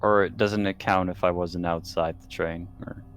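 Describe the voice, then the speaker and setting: A man with a very low voice talks casually as a player in a tabletop game. His delivery is hesitant and halting as he checks details. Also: fairly even intonation, faint music behind. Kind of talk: casual chat.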